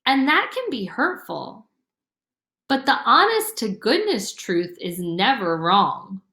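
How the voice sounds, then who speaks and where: Female reader as wise, gentle storybook mama, patient and slow, roomy acoustics. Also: fairly high pitch, sing-song, full character voice. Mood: patient teaching. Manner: gentle, measured. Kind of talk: storybook character voice. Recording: roomy, echoing room sound.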